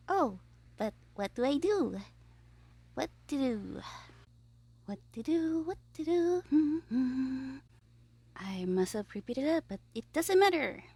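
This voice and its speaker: A woman voicing a gleeful, scheming young male supervillain in an ASMR audio roleplay, theatrical and petulant, musing aloud with breathy asides. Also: a little high, warm tone, full character voice.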